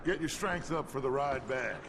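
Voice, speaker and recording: An actor playing a charismatic, theatrical American outlaw gang leader in a video game, with a deliberate, persuasive delivery. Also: male voice, medium pitch, background noise, natural voice. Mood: calm, authoritative. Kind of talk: video game cutscene acting. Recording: loud music behind the voice.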